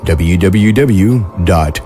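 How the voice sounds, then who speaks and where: A man's voice: low voice, drawn-out words, mellow. A man serving as the show's narrator, reading scripted end credits. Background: music.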